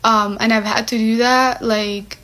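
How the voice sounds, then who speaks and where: Picture a young woman with a fairly high voice rambling through a casual vlog. She fills her talk with "like" and "um" and slips into little acted-out exchanges as she explains herself. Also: level tone, a little slow, pushed and bright. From Somali heritage. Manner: chatty, many "like" and "um" fillers, acts out quoted exchanges.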